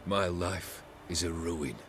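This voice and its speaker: An actor playing a weary, remorseful fallen nobleman with wry wit in a video game, speaking in a measured, theatrical, eloquent way. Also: deep voice, fairly even intonation, background noise. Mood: grieving, bitter. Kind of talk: dramatic video game acting.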